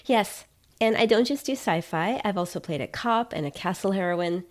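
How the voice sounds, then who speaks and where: A woman's voice: mid-range voice, normal speed, lightly acted. A woman voicing an eager, bold out-of-work fictional actress who keeps promoting herself. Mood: hopeful.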